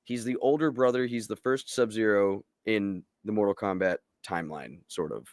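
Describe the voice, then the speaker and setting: A man's voice: on the low side, moderate pace, dry recording, studio quality. A man in casual, opinionated panel chat about movies, emphatic and given to swearing. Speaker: show host and film commentator.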